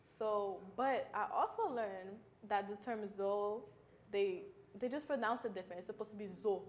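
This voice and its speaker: A woman's voice: medium pitch, normal speed. A teenage girl on a teen talk show who tells small anecdotes and asks the others questions. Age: teens. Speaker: teen co-host. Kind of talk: casual chat.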